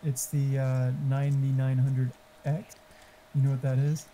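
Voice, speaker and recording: Talkative man with a dull, mellow voice. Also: mid-range voice, little pitch movement, measured pace. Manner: profane, talkative, explaining tech. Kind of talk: casual chat over online game. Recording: faint background noise.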